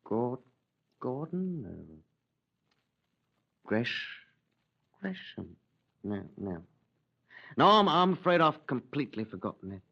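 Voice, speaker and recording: An actor playing an evasive former fire watcher under police questioning in a 1950s radio drama, hesitant and trailing off. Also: male voice, medium pitch, very animated, slow pace, vocal fry, pauses often, bright, forceful tone, dull audio, full character voice.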